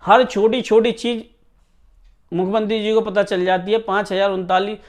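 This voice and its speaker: A man speaking informally to students as a coaching teacher, emphatic and often checking that they follow. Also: high voice. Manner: emphatic, repeatedly checks listeners understand. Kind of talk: informal exam news talk to students.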